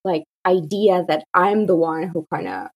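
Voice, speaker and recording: A woman's voice: on the low side, a little slow, vocal fry, a crowd in the background. A woman from Kazakhstan with a Kazakh accent, a native Russian speaker, talking casually as a marketing guest on an interview, with plenty of "kinda" and "like". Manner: relaxed, many fillers like "kinda" and "like".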